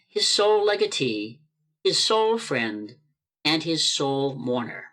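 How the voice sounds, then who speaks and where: A storyteller narrates a radio play in a theatrical style. Phrases are set out deliberately, with dramatic stress on each item. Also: medium pitch, fairly bright tone. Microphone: video call microphone.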